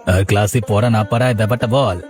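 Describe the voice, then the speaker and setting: Man voicing a quick-talking village working man. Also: lowish voice, steady tone, a little mellow, soft background music, close to an everyday voice. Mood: playful. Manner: familiar, plain-spoken. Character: good-natured, plain-spoken village working man. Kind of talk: cartoon dialogue.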